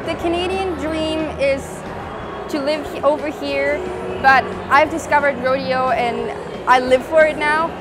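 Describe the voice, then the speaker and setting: A woman's voice: high voice, fairly even intonation, unhurried, over music, crowd noise. A young woman from Germany, a rodeo competitor, giving casual bilingual interview answers. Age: young adult. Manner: bilingual, switches between English and German.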